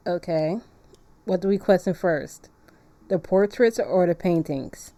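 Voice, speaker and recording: A woman's voice: lowish voice, measured pace, mellow. A woman streaming a game, chatting casually and playfully as she reacts aloud. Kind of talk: casual live-stream chat while playing a visual novel.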